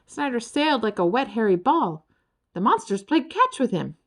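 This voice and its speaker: A woman narrating a children's storybook in an expressive, dramatic storytelling way. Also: fairly high pitch, normal speed. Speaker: audiobook narrator.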